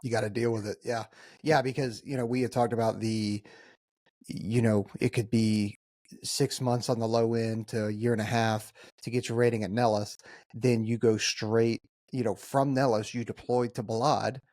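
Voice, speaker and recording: A man's voice: medium pitch, little pitch movement, moderate pace, a little mellow, no echo, clean studio sound. An American man hosting a casual podcast conversation in an informal, conversational way. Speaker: podcast host.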